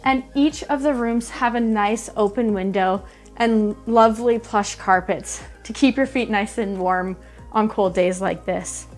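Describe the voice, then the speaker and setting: A woman's voice: mid-range voice, measured pace. A woman in her thirties hosting a walk-through house tour, describing each room in an upbeat presenter manner inside an empty show home. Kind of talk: friendly real estate walkthrough. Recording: faint background music under voice.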